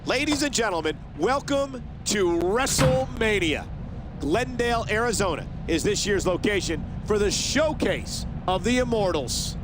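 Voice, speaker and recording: An actor playing a wrestling play-by-play announcer, delivering dramatic, emphatic scripted commentary for a video game. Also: male voice, lively intonation, a little slow. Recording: noisy rumbling background.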